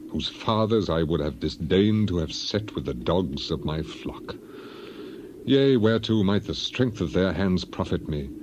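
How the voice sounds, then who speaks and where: A man's voice: sits low, lo-fi sound, background noise. A man voicing a grieving, dignified biblical elder in a solemn, lamenting, declamatory dramatized reading. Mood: bitter, scornful.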